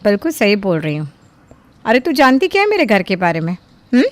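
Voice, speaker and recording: A woman playing an angry, sharp-tongued older neighbour who confronts people in a cartoon story. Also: mid-range voice, big pitch swings, fairly quick, no room sound, lightly acted. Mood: confrontational anger. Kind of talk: cartoon voice acting.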